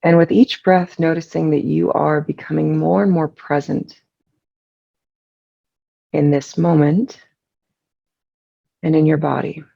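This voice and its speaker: Gravelly, mellow meditation guide with vocal fry. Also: medium pitch, steady tone, highs cut off. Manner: soothing, unhurried with long pauses.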